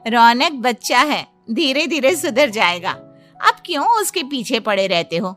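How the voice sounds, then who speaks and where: A woman playing an indulgent, protective mother in a cartoon, coaxing and gently chiding. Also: fairly high pitch, expressive, unhurried, faint music behind. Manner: coaxing, soothing the son, chiding the husband. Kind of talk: Hindi cartoon moral-story acting.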